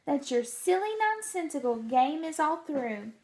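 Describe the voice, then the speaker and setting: A woman's voice: high voice, big pitch swings, a little slow, darkish tone, heavily acted. A woman voicing a big, haughty, scornful kangaroo in a storybook, bossy and snappish. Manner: snappish, emphatic.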